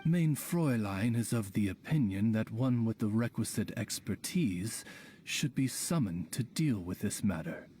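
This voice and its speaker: Slow, low-voiced courtly raven servant. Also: male voice, lively intonation, mellow, slight background noise. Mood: formal. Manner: formal, courtly, deferential. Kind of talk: video game voice acting.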